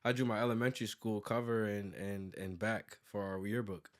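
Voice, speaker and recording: A man's voice: lowish voice, steady tone, normal speed. An American man in his thirties talking casually as an interview guest, rambling with lots of "like" and self-corrections. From United States, born in New York and raised in Virginia. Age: 30s. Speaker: interview guest, graphic designer and business owner.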